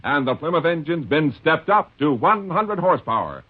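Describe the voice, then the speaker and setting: A man pitching a car in a 1950s radio ad, breezy and upbeat. His voice is a bit husky and swings lively in pitch. It sounds thin, like an old phone line. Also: moderate pace. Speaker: commercial pitchman.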